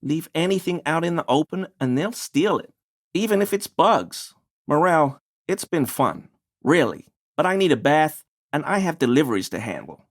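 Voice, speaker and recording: An actor voicing a fussy, defensive amateur cryptid enthusiast in a video game, with a pedantic, wordy, evasive and grumbling way of talking. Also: male voice, fairly high pitch, big pitch swings, normal speed, dry recording, studio quality. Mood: grumbling, impatient. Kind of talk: video game character dialogue.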